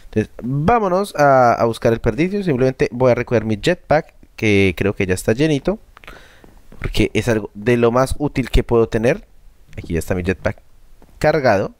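A man's voice: mid-range voice, expressive, normal speed, dry recording. A man with a Colombian accent giving casual, joking commentary over his gameplay and talking straight to his viewers. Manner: chatty, joking, addresses viewers as buddies. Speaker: gaming YouTuber.

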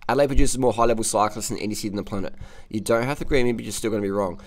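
A man's voice: fairly low pitch, rapid-fire. An Australian man giving unscripted, casual cycling commentary in a blunt, cocky, slangy way, often repeating phrases for emphasis. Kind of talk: unscripted casual commentary over race footage.

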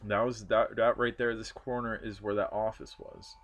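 A man narrating his vlog outdoors, earnest and reflective, often pausing and restarting. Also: lowish voice, flat delivery, a bit bright. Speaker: urban explorer vlogger. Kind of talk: casual walk-and-talk narration. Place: outdoors at a snowy demolition site.

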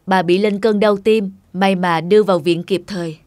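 Man as calm, kind young shopkeeper. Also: sits high, normal speed, dry recording, natural voice. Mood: reassuring, modest. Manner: calm, modest. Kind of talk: cartoon dialogue.

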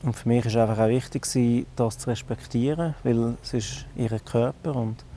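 A man in his thirties, originally from Italy, with a Swiss German accent, speaking slowly and thoughtfully in an outdoor interview. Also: medium pitch, steady tone, a little hiss or hum. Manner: slow, thoughtful, quiet. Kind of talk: personal interview. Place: outdoors on a bench. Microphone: lapel.

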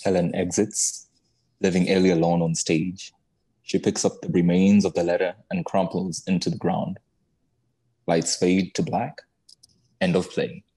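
A man reading stage directions as scripted narration in a flat delivery. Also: low-pitched, a little slow, pauses often, slightly rough, rough recording.

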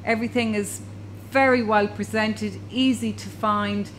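A woman's voice: mid-range voice, fairly even intonation, measured pace, slight background noise, low-quality recording. An Irish woman in her forties, upbeat and complimentary while interviewing a shop owner. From Ireland. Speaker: interviewer, estate agent. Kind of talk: promotional interview. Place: inside a butcher shop.

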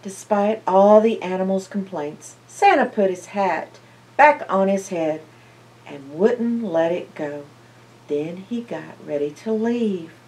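A woman's voice: medium pitch, slow pace. An older woman reads a picture book aloud to young children as a storyteller, expressively and with questions to her listeners. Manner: expressive, asks listeners questions, animated reactions. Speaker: library storyteller. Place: indoor library, seated by bookshelves. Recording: slightly distant microphone.

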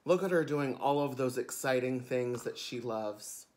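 A man's voice: medium pitch, unhurried, a little mellow. A man, a drag queen, reading a picture book aloud expressively for children, with chatty asides. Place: indoors before a curtain.

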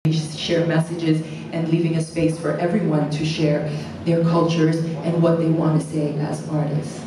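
Lowish-voiced woman on an echoing panel stage. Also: level tone, moderate pace, a crowd in the background. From born in Praia, Cape Verde. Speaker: panelist, singer and DJ. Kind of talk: conference panel talk. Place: stage in a conference hall. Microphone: handheld microphone, recorded by audience camera. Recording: echoey hall from far, noisy.

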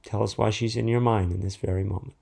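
A man performing a dramatic monologue as a grieving, tender, subdued mourner with a soft, gravelly voice. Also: on the low side, steady tone, fast. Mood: fond eulogy. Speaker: actor in a staged reading. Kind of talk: dramatic third-person monologue.

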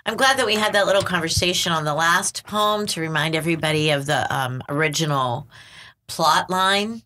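A woman's voice: sits low, normal speed, pushed and bright. A woman hosting a literary podcast, upbeat and leading the conversation. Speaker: podcast host. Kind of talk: casual literary discussion.

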